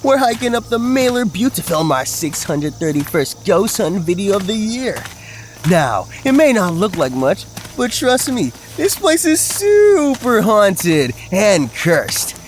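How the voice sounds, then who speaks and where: Brash young male ghost-hunt host played by a man: high voice, animated, crude slang, audio drama. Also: measured pace, a little hiss or hum. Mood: hyped, showy. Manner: cocky, slangy, hyped-up vlogger patter.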